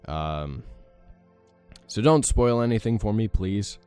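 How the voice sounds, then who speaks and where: Deep-voiced young man rambling over gameplay. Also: expressive, slow pace, a little mellow, smooth, faint music behind. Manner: rambling, many fillers, self-deprecating. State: morning voice with a crack. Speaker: let's play host. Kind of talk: casual gameplay commentary.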